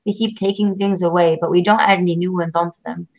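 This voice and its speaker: A woman's voice: lowish voice, fairly even intonation, fast talker, darkish tone. A woman voicing a husband with a temper, answering in flustered, blustering retorts. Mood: defensive. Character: man with a temper, bickering husband. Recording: dull lo-fi recording.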